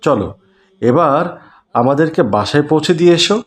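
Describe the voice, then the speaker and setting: A man voicing a kindly, generous gentleman in a cartoon. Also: on the high side, expressive, fairly quick, close to an everyday voice. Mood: kind, reassuring. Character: kindly well-off gentleman, generous patron of the poor. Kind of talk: cartoon voice acting.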